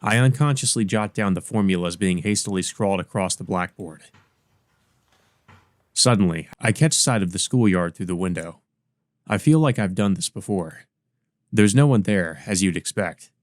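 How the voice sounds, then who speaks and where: Man, lowish pitch, dry close sound, let's play narrator, visual novel read-aloud, casual asides. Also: normal speed, no room sound. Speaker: let's play host and reader.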